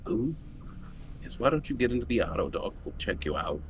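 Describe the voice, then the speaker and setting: Male roleplay gamer, mid-range voice, conversational halting delivery, clear background noise. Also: mid-range voice, very animated. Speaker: tabletop roleplaying game player. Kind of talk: casual in-character game chat.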